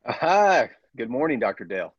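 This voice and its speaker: A man playing a grave, persuasive scientist's assistant in a radio play, deliberate and theatrical, with secretive zeal. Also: mid-range voice, big pitch swings, husky, dry recording, lo-fi sound. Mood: businesslike, explanatory. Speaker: podcast actor.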